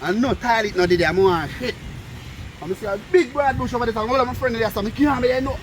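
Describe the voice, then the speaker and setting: A Jamaican man telling stories and bantering casually with friends, in an animated, argumentative way, repeating phrases for emphasis. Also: extremely high pitch, fast talker. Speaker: storyteller among friends. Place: outdoors. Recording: noisy outdoor recording with street sounds.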